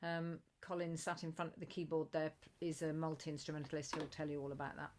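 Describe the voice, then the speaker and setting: A woman hosting an online meeting, briskly moving things along and introducing the next speaker. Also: low-pitched, level tone. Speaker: workshop organiser. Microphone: video call.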